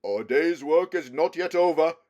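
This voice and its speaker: A man's voice: a little high, lively intonation, measured pace, a little mellow, lo-fi sound, pitched above the performer's own voice. Guy doing a noble lion king type, big commanding orders, voice lifted way up. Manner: declamatory, commanding.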